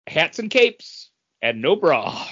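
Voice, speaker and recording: A woman's voice: deep voice, big pitch swings, extremely slow, a bit bright, breathy edge, dull audio. A woman co-hosting a podcast in casual, joking chat. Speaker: podcast co-host.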